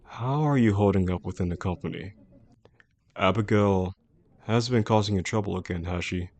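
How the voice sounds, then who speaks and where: Casting: male actor as a composed male chief executive for intimate roleplay audio, low-pitched, measured and formal, protective with a possessive undercurrent. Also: darkish tone. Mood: gentle, reassuring. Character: composed, caring male CEO boss, secretly obsessive. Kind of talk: intimate scripted roleplay audio addressed to the listener.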